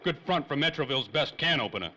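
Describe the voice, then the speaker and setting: A hard-boiled, menacing private eye from an old-time radio drama, acted by a man. His voice is very sharp and forceful, a little high, and he fires off clipped slang at a fast pace. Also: lively intonation. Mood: needling. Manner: clipped slangy tough-guy talk.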